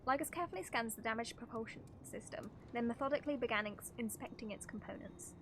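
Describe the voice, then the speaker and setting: A woman's voice: a little high, fast talker, background noise. A woman live-streaming a game, giving casual, playful reactions and reading bits of dialogue aloud.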